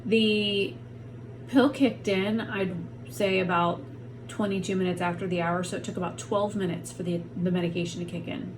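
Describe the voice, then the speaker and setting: A woman's voice: medium pitch, steady tone, normal speed. Chatty woman in pain, noisy home recording. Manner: chatty, rambling, frank. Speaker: vlogger. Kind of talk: casual talk to camera. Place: at home on a heating pad.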